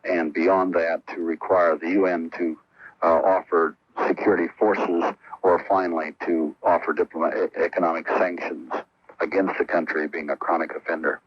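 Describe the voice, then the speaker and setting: A man's voice: deep voice, level tone, normal speed, breathy edge, no room sound, dull audio. A man explaining a proposal in a radio news interview clip.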